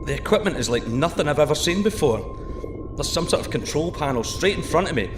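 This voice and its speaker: A man's voice: a little high, very animated, a little fast. A man voicing an awestruck, wisecracking male explorer in an audio drama, over a music bed. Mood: awed. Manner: breathless wonder, irreverent jokes. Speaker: submarine diver McGovern.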